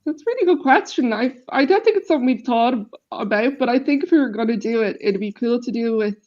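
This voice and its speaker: Casting: deep-voiced woman, musician interviewee, relaxed and chatty, slightly dull call audio. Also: fast. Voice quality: self-described deep voice. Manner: chatty, laughs easily, many fillers. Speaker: band member interviewee. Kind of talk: casual interview chat.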